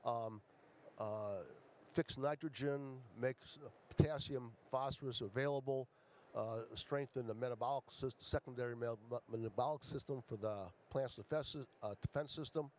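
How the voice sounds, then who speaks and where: A man from Ecuador giving a conference pitch as a startup founder, speaking haltingly with many fillers and restarts. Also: darkish tone, a little hiss or hum.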